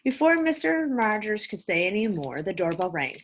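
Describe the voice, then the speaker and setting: A woman's voice: mid-range voice, sing-song, normal speed. A woman teacher reading a children's storybook aloud, with explanatory asides to young listeners.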